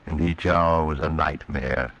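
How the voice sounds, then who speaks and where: An ominous horror narration in old-time radio style. The man's voice is extremely deep, grave and dramatic. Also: steady tone, unhurried, a bit bright, full character voice.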